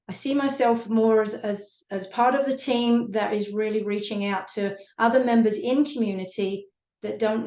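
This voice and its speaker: A woman's voice: medium pitch, darkish tone. A British woman speaking earnestly and passionately about her community work, with plenty of ums and uhs. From Britain. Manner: earnest, passionate, many fillers. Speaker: community support team member. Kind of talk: online panel conversation. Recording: echoey room, dull lo-fi sound.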